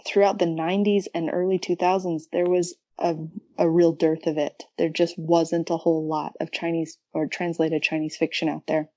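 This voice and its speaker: やや低めの声の女性ゲスト. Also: female voice, low-pitched, flat delivery, moderate pace, darkish tone, no room sound. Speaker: podcast guest, researcher. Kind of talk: casual explanatory discussion.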